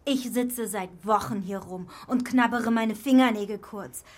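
A woman playing a sharp-tongued, fretful, scolding companion in a children's radio play, reproachful in manner. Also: fairly high pitch, a little slow. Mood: indignant.

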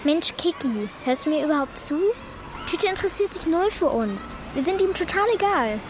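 High-voiced, earnest schoolgirl Sue. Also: big pitch swings, normal speed. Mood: exasperated. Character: schoolgirl Sue, loyal, thoughtful, a little defensive. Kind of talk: German children's radio play acting. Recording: clear outdoor street noise behind.

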